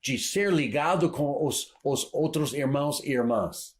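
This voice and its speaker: A man's voice: on the high side, animated, measured pace. Man interpreting a sermon, hesitant. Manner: hesitant, fillers and restarts while translating. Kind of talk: live sermon interpreting into Portuguese.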